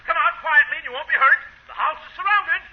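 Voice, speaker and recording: An actor playing a bossy, impatient police captain barking commands in a 1930s radio comedy. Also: male voice, very high-pitched, quick pace, narrow, tinny audio. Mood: commanding. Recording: very noisy 1930s radio recording.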